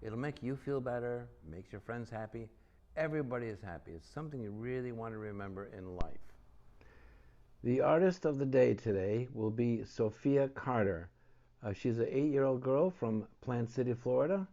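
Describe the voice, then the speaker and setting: A man's voice: mid-range voice, normal speed, warm tone, muffled recording. A man reading a picture book aloud for children as a storyteller and host.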